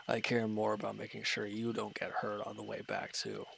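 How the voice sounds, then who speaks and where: A man playing a wounded, irritable villain in an audio roleplay, gruff and curt but grudgingly caring, with an exasperated, grumbling delivery. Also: on the low side, completely flat delivery, normal speed, bright, forceful tone, a little hiss or hum, exaggerated voice, rough recording. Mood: grudging concern. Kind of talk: scripted audio roleplay acting.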